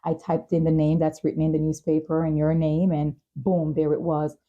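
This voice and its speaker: A woman's voice: low voice, completely flat delivery, moderate pace, warm tone, muffled recording. A woman hosting a talk show, speaking empathetically in an interview conversation and affirming what the guest says. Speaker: talk show and podcast host.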